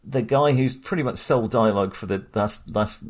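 A man with a low, slightly husky voice talks through a film with a co-host. He rambles, restarts his sentences and chuckles between points in an easy, casual way. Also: fairly quick. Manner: rambling, restarts often, laughs while talking. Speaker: podcast co-host.

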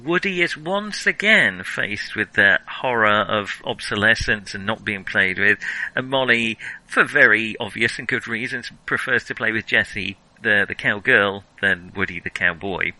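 A man co-hosting a casual film discussion, talking in a wry, animated, emphatic way. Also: on the low side, a little slow, rough recording. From Europe. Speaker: podcast co-host.

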